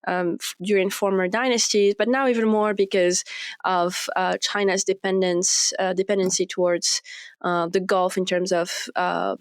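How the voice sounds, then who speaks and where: A young woman from Morocco speaks as an interview guest, measured and full of ums and small restarts. Her voice is smooth and clear at a middle pitch. The recording is dry, with no room sound. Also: steady tone, dry recording. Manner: measured, many fillers and restarts. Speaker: research fellow guest. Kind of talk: interview answers.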